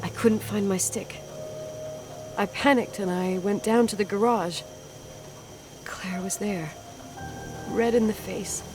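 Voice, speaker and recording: An actress playing a distraught grown woman haunted by a repressed memory, speaking in a hushed, halting, anguished way in a dramatic video game. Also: medium pitch, music in the background, natural voice. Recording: clearly noisy ambience behind the voice.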